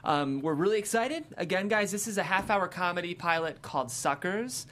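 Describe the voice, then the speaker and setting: A woman's voice: on the low side, animated, normal speed, fairly bright tone, slight background noise. A woman hosting a show and reading scripted stage directions with enthusiasm. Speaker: host and stage-direction reader.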